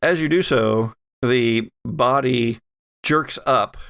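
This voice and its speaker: A man narrating a horror tabletop game as game master, halting and pausing mid-phrase as he improvises the scene. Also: mid-range voice, vocal fry, fairly bright tone, clean tone, no echo, studio quality.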